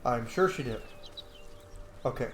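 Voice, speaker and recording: A man's voice: medium pitch, expressive, unhurried, fairly bright tone. A man giving casual commentary as he plays through a game, in an echoey room with noise behind him. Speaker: gaming video host, player.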